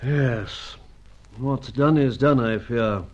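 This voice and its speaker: Avuncular older spymaster, old radio drama: mid-pitched, measured, grave, paternal; muffled lo-fi recording. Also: male voice. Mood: grave, resigned. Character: grave, avuncular older British knighted spy chief. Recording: dull, lo-fi radio serial sound.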